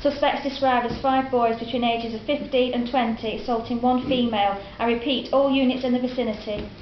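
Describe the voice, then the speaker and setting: A woman's voice: a little high, fairly even intonation, a little fast, lightly acted. An actress reading a grateful mother's phone message, a weather girl and a police dispatcher in a scripted audio drama. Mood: urgent. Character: young woman caller and weather girl. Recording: dull lo-fi roomy recording, faint hiss.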